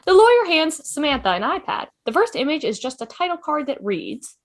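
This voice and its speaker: A woman's voice: high voice, very animated, brisk. A woman reading scripted stage directions for an amateur table read, announcing each scene.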